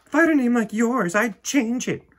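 A man's voice: very high-pitched, animated, moderate pace, fairly bright tone, pitched above the performer's own voice, exaggerated voice. A man voicing a bossy, snobbish little girl mouse in a storybook, smug and taunting. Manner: smug, know-it-all, taunting.

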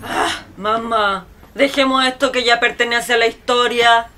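A haughty middle-aged Chilean wife, speaks with weary impatience in a radio comedy. The voice is fairly high, bright and forceful. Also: female voice, fairly even intonation, a little slow, faint music behind. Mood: exasperated. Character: exasperated, frivolous middle-aged wife and daughter. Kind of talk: radio play comedy acting.

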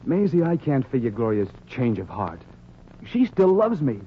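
A man's voice: medium pitch, very animated, normal speed, natural voice. A man with an American accent acting an earnest, plaintive, lovelorn working-class husband in 1940s radio comedy. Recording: dull, hissy 1940s radio recording.

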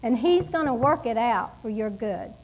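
A middle-aged woman delivers a sermon from a church pulpit. Her voice sits high, and she preaches with emphatic, animated energy and quick asides to the congregation. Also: a little hiss or hum, low-quality recording. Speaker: pastor. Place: church stage at a wooden pulpit.

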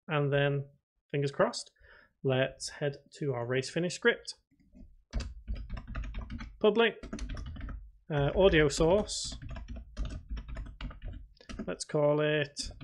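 A man giving a casual software tutorial, thinking aloud step by step and talking informally to his viewers. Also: a little high. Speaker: video tutorial presenter. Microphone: voice-over recorded at a computer.

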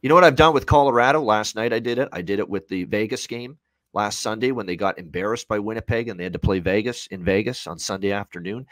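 A deep-voiced man in his thirties breaks down sports bets in a dry, close recording. He is opinionated and emphatic, hammering his points by repeating them. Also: fast talker, fairly bright tone, no room sound. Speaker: sports betting analyst. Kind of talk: sports betting commentary. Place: indoor room at home, on a video call.

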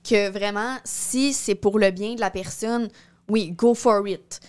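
A woman's voice: mid-range voice, fairly even intonation, slow, a little mellow, smooth. A woman in her twenties speaks with a Québécois French accent in a casual discussion. She is informal and a little hesitant, slipping English words into her sentences. She is recorded on a close microphone in a small studio. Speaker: podcast co-host. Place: small indoor podcast studio. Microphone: close studio microphone on boom arm.

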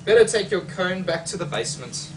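Animated, high-pitched young man reading a storybook. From Australia. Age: young adult. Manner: expressive, dramatic pauses and exclamations. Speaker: picture book author reading aloud. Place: library, among bookshelves. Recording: distant mic, noisy room ambience.

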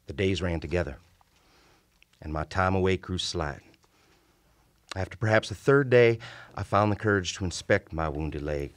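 A middle-aged actor playing a haunted Confederate lieutenant, gravely and formally recounting a horror from his journal in a radio play. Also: sits low, a little hiss or hum, natural voice. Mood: brooding. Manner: grave, formal, deliberate storytelling. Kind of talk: dramatic old-time radio play narration. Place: small radio studio. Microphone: vintage-style studio microphone at close range. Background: music.

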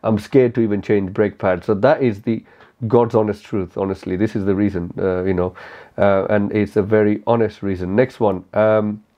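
Halting Indian-accented man, slightly dull audio. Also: on the low side, steady tone, dark tone. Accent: Indian English. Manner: candid, halting, many fillers. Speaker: motorcycle YouTuber. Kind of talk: casual to-camera Q&A. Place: small cozy room indoors.

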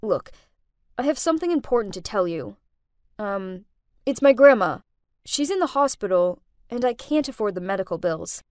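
Woman putting on a gruff, guarded young man. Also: mid-range voice, sing-song, moderate pace, darkish tone, dry recording, clean studio sound, voice pushed down, close to an everyday voice. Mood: hesitant, awkward. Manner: gruff, curt.

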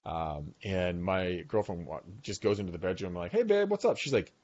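A man in his forties hosting a podcast from his home office, talking with a guest over a video call and telling stories in an animated, talkative way. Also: low-pitched, normal speed, muffled recording. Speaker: podcast host. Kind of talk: casual video-call interview. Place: home dining room office. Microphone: boom mic on arm.

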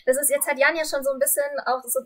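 A young woman with a fairly high voice, acting as interviewer. She talks informally and chattily, speaking indoors on a video call. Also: steady tone, rapid-fire. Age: 20s. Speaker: interviewer, host. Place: indoors in front of bookshelves.

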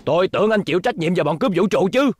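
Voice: mid-range voice, expressive, very fast, a little hiss or hum, natural voice. An actor playing a scheming middle-aged hotel owner in a cartoon dub, oily and pompous. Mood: accusing. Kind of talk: Vietnamese cartoon dubbing. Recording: background music under dubbed dialogue.

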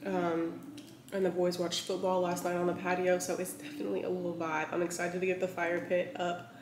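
A woman's voice: fairly low pitch, little pitch movement, brisk. Chatty woman vlogging in an echoey kitchen. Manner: chatty, rambling, many fillers. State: scratchy throat, clearing it. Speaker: lifestyle vlogger. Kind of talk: casual chat to camera. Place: large bright home kitchen. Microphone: camera on a counter, mid-shot. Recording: echoey kitchen with faint room noise.

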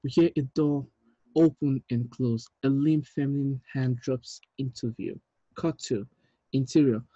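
A man in his thirties reading screenplay directions aloud in a measured, deliberate way, with small stumbles. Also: medium pitch, fairly even intonation, warm tone, no echo, muffled recording. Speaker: writer reading stage directions at a table read. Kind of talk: script narration, reading aloud. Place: indoor room, on a video call.

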